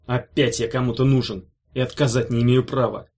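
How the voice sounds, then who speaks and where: A man's voice: mid-range voice, little pitch movement, brisk, darkish tone, husky, lightly acted. A man voicing a hissing, menacing demon. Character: sinister male demon guard, hissing and furious.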